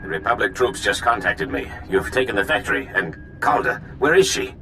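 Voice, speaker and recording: An earnest leader of a resistance cause in a sci-fi game. He sounds sincere and commanding, with a fairly low pitch and music playing behind him. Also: big pitch swings, brisk. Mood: relieved, grateful.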